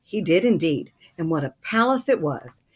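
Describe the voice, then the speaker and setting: A woman voicing an animated, secretive old grandfather in a storybook, telling his tale dramatically. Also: deep voice, moderate pace, husky, full character voice. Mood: enthusiastic.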